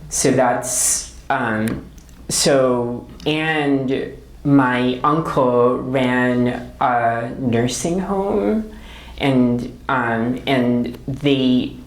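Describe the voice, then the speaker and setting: A woman author from the Philippines with a very low voice, speaking slowly as she answers questions. Her answers are thoughtful and searching. She often checks in with a short questioning tag. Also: rough recording. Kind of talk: reflective talk answering audience questions.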